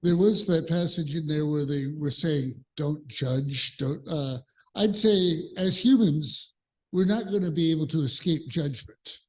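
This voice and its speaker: A man's voice: a little high, measured pace. A man from a congregation offering informal, thoughtful commentary on a reading. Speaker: congregation member sharing a reflection.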